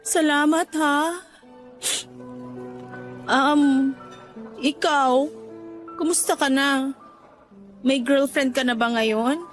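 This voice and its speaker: An actress playing a remorseful young daughter, self-blaming yet playful, in a Filipino radio drama with a Filipino accent. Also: female voice, sits high, fairly even intonation, very slow, pauses often, soft background music. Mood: light, teasing.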